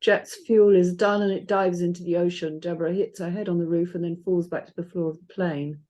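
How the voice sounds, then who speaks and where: A woman's voice: lowish voice, fairly even intonation, brisk, mellow. A woman reading out stage directions as scripted narration.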